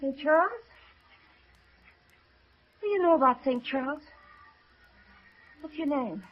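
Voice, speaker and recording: A woman's voice: sits high, expressive, a little slow. An actress playing a desperate, distrustful young woman in a 1950s radio drama, tense, defiant and emotional. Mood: suspicious. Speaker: radio actor. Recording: muffled old radio recording.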